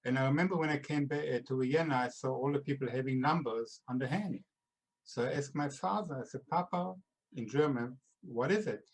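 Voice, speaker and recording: Author guest, man in his 70s from Israel, mid-pitched, earnest and defiant. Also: breathy edge, low-quality recording. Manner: earnest, defiant, rises when stressing a message. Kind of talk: interview talk.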